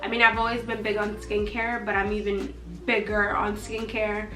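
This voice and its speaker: A woman's voice: medium pitch, completely flat delivery, moderate pace, fairly bright tone, recorded from a distance. A young woman chatting casually to camera in a vlog, a little nasal from allergies and acting out her own past reactions. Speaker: beauty vlogger. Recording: roomy echo, slightly off-mic, faint music.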